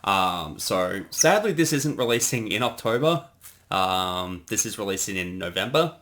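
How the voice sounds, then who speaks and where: Relaxed lower-voiced man co-hosting. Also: measured pace. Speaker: co-host of creator commentary. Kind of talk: casual commentary chat.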